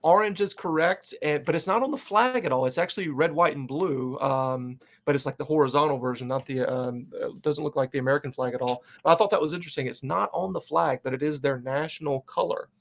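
A man's voice: fairly high pitch, a little fast, gravelly, hardly any pauses. Chatty upbeat man hosting. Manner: upbeat, chatty, loose with fillers. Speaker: podcast host. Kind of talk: casual interview chat.

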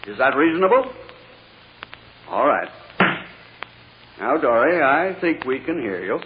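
An actor playing a kindly, patient judge with gentle, reassuring authority in a 1940s radio drama. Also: male voice, medium pitch, sing-song, a little slow. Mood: firm, kindly. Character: kindly, patient male judge calming a courtroom. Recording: dull, noisy old radio recording.

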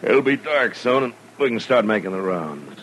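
Deep-voiced, laconic frontier lawman. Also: sing-song, over loud music. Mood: calm, sociable. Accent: American. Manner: laconic, unhurried, plainspoken. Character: laconic, steady, world-weary frontier lawman. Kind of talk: old-time radio western acting. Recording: old radio drama recording.

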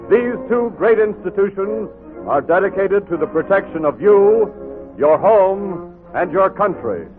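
An American man with a higher-set voice makes formal 1940s radio announcements. He is measured and declamatory, on a dull vintage recording over clear music. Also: expressive.

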